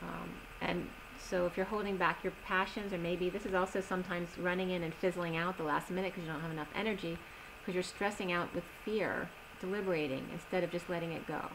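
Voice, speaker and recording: A woman giving a tarot reading in a slow, soothing manner, slightly strained as she is losing her voice. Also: lowish voice, fairly even intonation, noisy background. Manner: slow, soothing reading of oracle cards with gentle pauses. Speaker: tarot reader. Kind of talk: solo tarot reading video.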